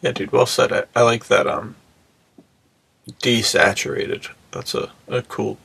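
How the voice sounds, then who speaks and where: Gravelly, slangy man chatting on a podcast. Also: mid-range voice, steady tone, unhurried, fairly bright tone. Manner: laid-back slangy talk, says "dude" often. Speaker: podcast host. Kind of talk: casual podcast chat.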